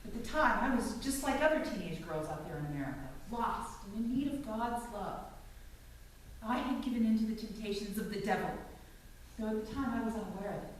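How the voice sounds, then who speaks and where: A woman playing a devout, earnest mother in a staged reading, speaking to her son in a solemn, didactic way with deliberate phrasing. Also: mid-range voice, lively intonation, lightly acted. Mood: solemn, confessional. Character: earnest, devout mother explaining sex and alien abduction to son. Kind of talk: staged reading of a novel, monologue. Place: indoor performance venue, live staged reading. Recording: echoey hall, far from mic, faint noise.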